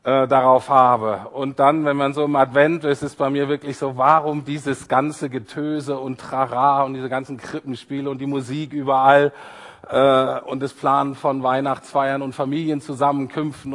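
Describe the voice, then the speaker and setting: A man preaching in church, his voice a little hoarse from a cold, talking in a relaxed way with many fillers. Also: fairly high pitch, in a large hall. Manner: informal, self-deprecating, with many fillers. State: has a cold, voice a bit husky. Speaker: pastor. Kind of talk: prayer and sermon.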